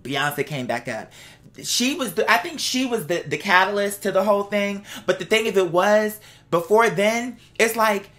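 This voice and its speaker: A woman's voice: medium pitch, lively intonation, moderate pace, a bit bright. A woman ranting casually to her viewers, blunt, sassy and exasperated, with emphatic, slangy, profanity-laced delivery. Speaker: vlog host. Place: indoors at home.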